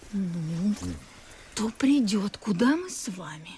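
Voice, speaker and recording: An actress playing a saucy, lively young maidservant in a verse comedy radio play, with a theatrical, teasing delivery. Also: female voice, medium pitch, takes it slowly, a little hiss or hum. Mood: wary.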